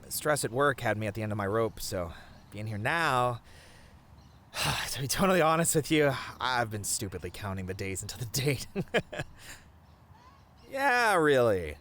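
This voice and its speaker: A man playing a sweet, bashful boyfriend in a romantic ASMR roleplay, talking tenderly in a flustered, halting way. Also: medium pitch, sing-song, a bit bright. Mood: affectionate, eager. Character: sweet, bashful, rambling boyfriend on a picnic date.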